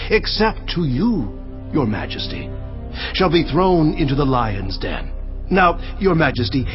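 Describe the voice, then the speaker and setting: An actor playing a scheming, flattering royal official in a scripture audio drama, theatrical, obsequious and insinuating. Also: male voice, fairly high pitch. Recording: muffled sound, faint music underneath.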